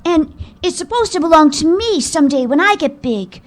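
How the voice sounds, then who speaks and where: A woman acting a loyal, worried young boy in a live radio drama, halting and slangy with gee-whiz exclamations. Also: very high-pitched, animated. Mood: indignant, hopeful. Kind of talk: old-time radio drama acting before a live audience. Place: live stage performance in a hall.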